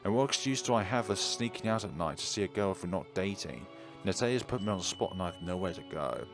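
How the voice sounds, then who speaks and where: A low-voiced man reads story narration aloud as a let's player. He delivers it like a dramatic storyteller, with faint music playing behind him. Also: animated, fast. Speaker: let's player reading a visual novel aloud.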